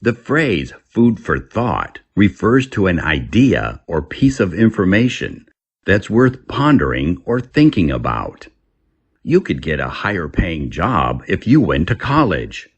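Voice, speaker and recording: A man with a low, husky voice reads instructional material in short, deliberate phrases. His delivery is slow, with very lively, swooping intonation. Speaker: reader and English teacher. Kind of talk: scripted instructional reading.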